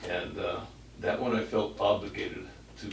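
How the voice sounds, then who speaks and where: Gravelly, lowish-voiced man at a meeting, rambling, with lots of restarts. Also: fairly even intonation, normal speed. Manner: rambling, restarts his sentences. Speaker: committee member. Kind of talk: casual meeting discussion. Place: meeting room. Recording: echoey room from far off, faint noise.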